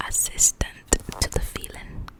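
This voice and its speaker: Whispering woman, casual ASMR chat. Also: unhurried. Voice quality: breathy unvoiced whisper. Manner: informal, chatty, addresses viewers directly. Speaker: ASMR video host.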